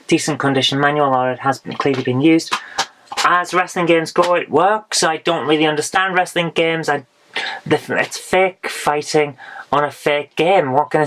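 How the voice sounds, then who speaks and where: Hoarse woman reviewing retro games. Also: sits low, a bit bright, slight background noise, rough recording. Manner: chatty and frank, with dry asides. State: hoarse, losing her voice. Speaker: retro game vlogger. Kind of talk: casual game haul review.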